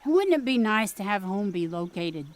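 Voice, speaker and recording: A woman's voice: medium pitch, very animated, normal speed, lightly acted. An actress with a Midwestern accent playing a pushy, folksy small-town mother in an audio drama. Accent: Midwestern American. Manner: folksy, scolding, plain-spoken. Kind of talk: period radio drama acting.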